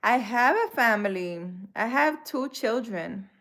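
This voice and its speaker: A woman hosts a relaxed live stream, talking to her viewers as if they were friends. Her voice sits a bit high, and she takes her time. She laughs easily and drops Spanish exclamations into her English. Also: unhurried. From Dominican Republic. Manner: chatty, laughs often, drops in Spanish words. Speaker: live-stream host, spiritual healer.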